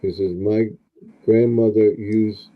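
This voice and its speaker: A man's voice: lowish voice, steady tone, takes it slowly, narrow, tinny audio. A retired man in his seventies hosting a casual live stream, rambling with many fillers and restarts. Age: 70s.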